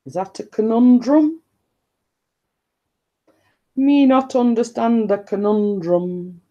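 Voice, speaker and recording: A woman with a deep-toned voice, chatting casually and rambling to her viewers on a live stream. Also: takes it slowly, lo-fi sound. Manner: chatty, meandering, repeats stock phrases and laughs often.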